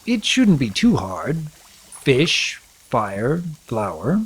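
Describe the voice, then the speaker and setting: A man voicing a flustered, lovestruck young male pony baron in a storybook reading, in a dazed, halting way with drawn-out words. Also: medium pitch, huge pitch swings, slightly rough, pitched above the performer's own voice, exaggerated voice, low-quality recording.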